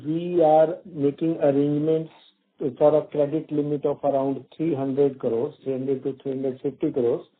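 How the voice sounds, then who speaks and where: A male company executive, answering analysts' questions on an earnings call, hesitant with many fillers and restarts. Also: fairly high pitch. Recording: tinny phone line.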